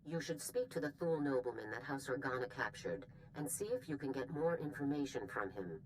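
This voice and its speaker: Low-voiced, stern female general. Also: lively intonation, brisk, slight background noise, rough recording. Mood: commanding. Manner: formal, authoritative, clipped. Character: stern, brisk female military general briefing a soldier. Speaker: commanding officer. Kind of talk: scripted video game mission briefing.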